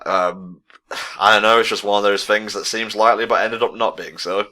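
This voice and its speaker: Low, bright-voiced male game commentator. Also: fairly even intonation, a little fast. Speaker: let's play commentator. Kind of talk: casual co-commentary on a video game.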